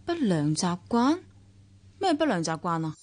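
A woman's voice: on the low side, very animated, unhurried, a little mellow. A woman with a Hong Kong Cantonese accent acting a worldly, guarded divorced younger sister in a radio drama, nonchalant and wry, with wistful first-person narration. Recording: long reverb, music underneath. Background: music.

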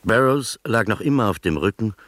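An actor playing an observant first-person storyteller, narrating a literary radio drama in measured fashion. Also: male voice, on the low side, animated, a bit bright.

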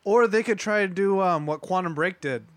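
A man with a clearly high-pitched voice talks as a co-host in a podcast panel. The speech is informal and conversational. He is recorded dry, with almost no room sound. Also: moderate pace, no echo. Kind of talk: casual panel discussion.

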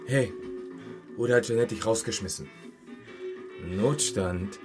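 An actor playing an excitable, inquisitive friend who probes and exclaims in a radio drama. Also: male voice, fairly low pitch, unhurried, over music, close to an everyday voice. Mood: urgent, probing. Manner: probing, exclamatory. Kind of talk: naturalistic radio drama dialogue.

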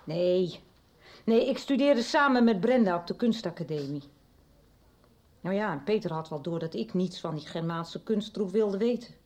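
A woman's voice: mid-range voice, animated, moderate pace, a little mellow, lightly acted. An actress in a 1990s radio play voicing a middle-aged woman who chattily confides her past, with little hesitations. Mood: confiding. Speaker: radio drama character. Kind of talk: 1990s radio drama acting.

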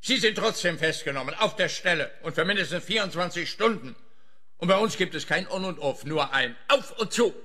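An actor playing a smug, obtuse police officer in a radio play, talking in a pompous, officious way. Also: male voice, a little high, expressive, brisk, pushed and bright, no room sound, studio quality, heavily acted.

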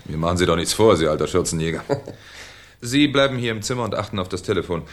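An actor playing a shrewd, courteous French police commissioner with dry irony in a 1970s German radio play. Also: male voice, deep voice, a little hiss or hum, lightly acted. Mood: wry, commanding. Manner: polite, dryly ironic, unhurried questioning. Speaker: actor in a radio crime drama.

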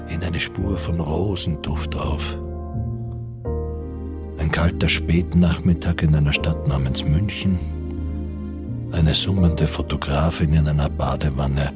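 A man with a deep voice reads literary narration for a radio play. His delivery is measured and serious, and music plays clearly behind him.

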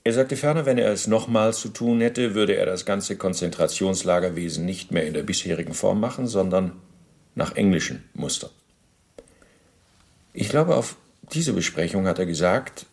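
A low-voiced, officious war criminal. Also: male voice, moderate pace, gravelly, a little mellow. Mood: cooperative, precise. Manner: formal, officious, clipped official phrasing. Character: male Nazi war criminal under interrogation, bureaucratic, self-justifying. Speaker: actor in a radio play. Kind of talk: German radio docudrama interrogation acting.

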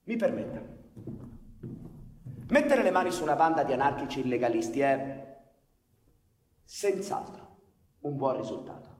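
An actor playing a stern, cold police chief in a radio drama, speaking with measured, deliberate authority. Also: male voice, fairly high pitch, big pitch swings, darkish tone. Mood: cold, insistent. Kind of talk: radio theatre acting. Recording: echoey reverberant room, distant mic.

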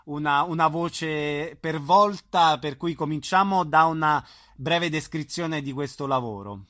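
A male interviewer with a forward, bright voice asks questions in a conversational way. He takes his time, pausing and hesitating as he goes, and the sound is dry with no room on it. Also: on the high side, dry recording. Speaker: radio interviewer.

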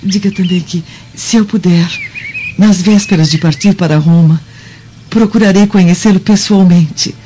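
A woman playing a gracious, devout Roman noblewoman in a radio drama, speaking politely, formally and gently. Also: fairly low pitch, normal speed, noisy background, natural voice. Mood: grateful, reverent. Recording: dull lo-fi radio drama recording.

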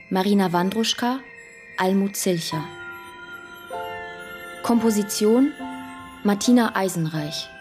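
A woman announcer reading scripted radio drama credits formally, in separated phrases. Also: mid-range voice. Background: soft music.

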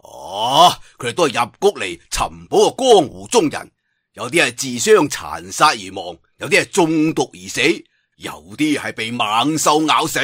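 A man with a Cantonese accent voicing a proud, irritable old swordsman in an audiobook, loud, teasing and boastful. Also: medium pitch, breathy edge, no echo, clean studio sound, full character voice.

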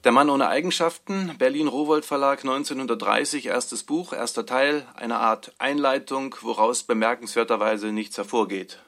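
A man's voice: medium pitch, moderate pace, few pauses, fairly bright tone. A man reading out titles and source references as a scripted radio announcer.

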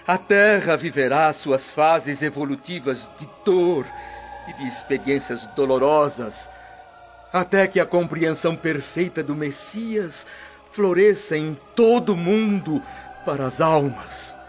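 Guy acting as solemn ancient preacher, declaiming prophecy, radio drama sermon, highish voice, muffled recording. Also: a little slow, natural voice. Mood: prophetic. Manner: oratorical, grave, declamatory. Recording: dull lo-fi radio drama, music behind.

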